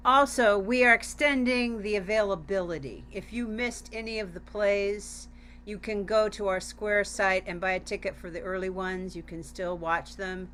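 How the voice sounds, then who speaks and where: Female theater staffer, bright and crisp-edged, making informal promotional remarks on a video call with slight background noise. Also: mid-range voice, fairly even intonation, normal speed. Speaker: theater center staff member.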